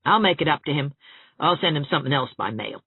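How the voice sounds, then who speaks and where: A woman's voice: deep voice, lively intonation, fast talker, breathy edge, dry recording, phone-quality sound, full character voice. A woman voicing a cheerful, teasing, self-mocking young man in a storybook reading. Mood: friendly, easygoing.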